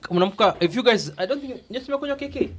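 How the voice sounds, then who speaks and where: A man's voice: extremely high pitch, lively intonation, very fast, a bit bright, slight background noise. A Kenyan man trading teasing, casual banter as a guest on a studio podcast. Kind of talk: casual chat.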